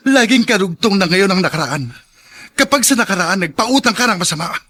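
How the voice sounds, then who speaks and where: High, sharp, vengeful young man. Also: expressive, a little fast, full character voice. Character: bitter, vengeful young man, coldly satisfied. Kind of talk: theatrical radio drama acting.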